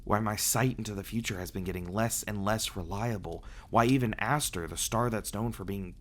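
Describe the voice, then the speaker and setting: A man playing a reclusive, brooding vampire who confides earnestly and haltingly, in an ASMR roleplay audio drama style. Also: lowish voice, slight background noise, close to an everyday voice. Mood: troubled, earnest. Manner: halting, hesitant delivery with dramatic pauses and sighs.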